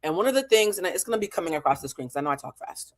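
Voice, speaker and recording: A woman's voice: lowish voice, lively intonation, rapid-fire. An American woman from New England giving an opinionated recap as an online host, blunt, profane and emphatic, repeating her points for effect. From New England, United States. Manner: blunt, heavily profane, emphatic with rhetorical repetition. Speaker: online show host and emotional intelligence teacher. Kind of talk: opinionated reality show recap to camera.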